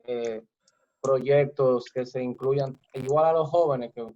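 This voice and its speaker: A man's voice: mid-range voice, steady tone, slow, a little mellow. A young man from the Dominican Republic, politely asking a question at a webinar. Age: young adult. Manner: polite and grateful. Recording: muffled online call.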